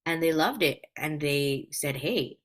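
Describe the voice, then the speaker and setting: A woman's voice: sits low, a little slow. A woman speaking as a life coach guest in a casual interview, telling personal stories in an earnest, reflective way.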